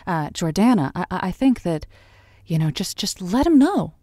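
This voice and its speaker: Tender, haunted female call-in host for an audio drama: lowish voice, deliberate pauses, intimate dry sound. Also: very animated, dark tone, dry recording. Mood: soothing, coaching. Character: haunted, tender woman radio advice host. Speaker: radio advice show host. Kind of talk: audio drama as late-night call-in radio.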